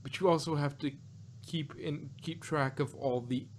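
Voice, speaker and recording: A man's voice: on the high side, fairly even intonation, moderate pace, a little hiss or hum. Rambling man in casual chat. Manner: rambling, many restarts and fillers, laughs often. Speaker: podcast co-host.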